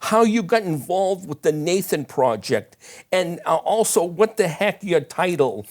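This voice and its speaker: Halting, folksy male talk show host. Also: high voice, lively intonation. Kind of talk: casual interview introduction.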